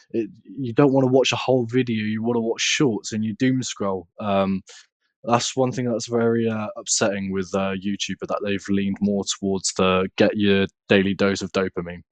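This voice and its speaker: A man from the UK, a content creator chatting casually as a podcast guest in a measured, hesitant way. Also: on the low side, no room sound. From United Kingdom. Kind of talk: casual interview chat.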